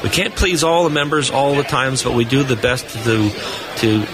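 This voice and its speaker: A man's voice: medium pitch, steady tone, moderate pace. An American man speaking earnestly as a guest in an informal live radio interview, making appeals to listeners. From New England, United States. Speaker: interview guest, test engineer. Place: booth on busy convention floor. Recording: noisy convention hall floor, live broadcast.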